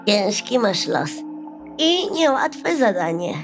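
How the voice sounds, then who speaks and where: A woman's voice: medium pitch, big pitch swings, slow, pitched above the performer's own voice. A woman voicing a shaggy old witch, grave and knowing, in a children's fairy tale. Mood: grave, foreboding. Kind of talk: bedtime fairy-tale audio play. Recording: music under the voice.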